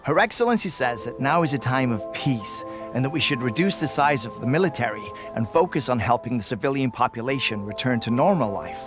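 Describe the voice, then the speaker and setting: A man plays a friendly veteran soldier in a video game, with a mid-pitched voice. He talks respectfully and informatively, with clear music behind him. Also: natural voice. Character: friendly, respectful male veteran soldier reporting to his captain.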